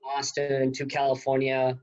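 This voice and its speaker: A man's voice: medium pitch, completely flat delivery, measured pace, darkish tone. A man in his thirties from Pakistan, talking as an interview guest in a rambling, self-correcting way. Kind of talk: casual interview talk. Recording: dull lo-fi audio.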